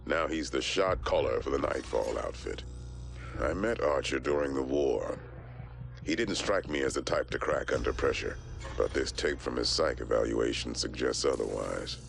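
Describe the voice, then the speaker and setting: An actor playing a grizzled male intelligence briefer, gravelly and terse, in a game cutscene. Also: extremely low pitch, moderate pace, faint music behind. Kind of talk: video game intel narration.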